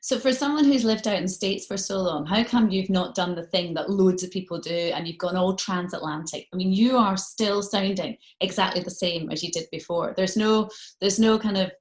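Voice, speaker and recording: A woman's voice: mid-range voice, moderate pace. A woman presenting a casual interview, chatty and encouraging. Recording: echoey room on video call.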